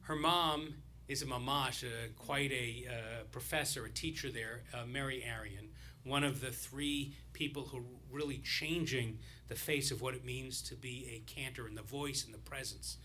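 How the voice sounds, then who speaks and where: A man speaking as a rabbi, telling a loose, rambling story during a service with many pauses and restarts. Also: mid-range voice, steady tone, pushed and bright, a little hiss or hum.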